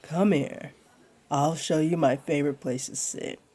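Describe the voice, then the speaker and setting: A woman playing a flirty, teasing, laid-back jaguar beastling in a roleplay audio, with a deep, breathy, husky voice and a playful, soothing delivery. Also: fairly even intonation, unhurried, dark tone. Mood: teasing, reassuring. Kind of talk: roleplay audio acting, addressed to one listener.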